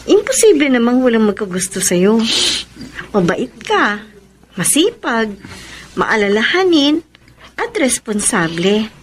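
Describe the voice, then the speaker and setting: A woman acting a gentle, reluctant young sweetheart in a Filipino radio romance drama. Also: fairly high pitch, big pitch swings, measured pace, long pauses, slight background noise. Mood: fond.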